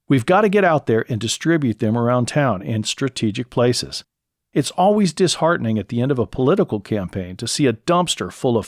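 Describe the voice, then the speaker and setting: An American man hosts a solo instructional podcast in a medium-pitched voice. He works through practical advice step by step, reading from prepared notes. The sound is close and dry, with very little room on it. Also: dry recording. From lives in California. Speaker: podcast host. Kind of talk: solo podcast talk, scripted instructional narration.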